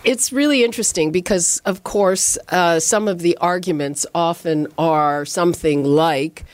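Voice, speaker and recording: A woman hosting a radio call-in show in a measured way, with frequent hesitations. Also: fairly low pitch, dry recording. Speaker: radio host. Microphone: studio microphone.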